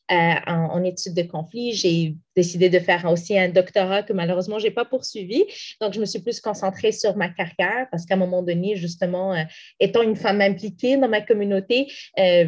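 A young woman from Morocco, a native Arabic speaker, answering interview questions earnestly with frequent restarts and fillers. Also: on the low side. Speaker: interview guest, community activist.

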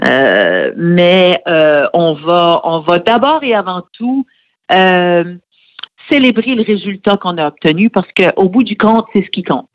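A woman's voice: lowish voice, lively intonation, measured pace, no room sound. Earnest middle-aged woman, muffled recording. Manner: earnest, explanatory, with frequent hesitations. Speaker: radio guest, head of a francophone federation. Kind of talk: radio interview answers. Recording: slightly muffled, dry recording.

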